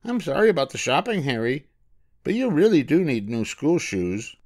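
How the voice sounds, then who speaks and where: A man's voice: fairly high pitch, very animated, moderate pace, pitched above the performer's own voice. A man voicing a sensible mother koala in a storybook, a coaxing parent who is easily exasperated. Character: mother koala, patient but exasperated, cheerfully embarrassing.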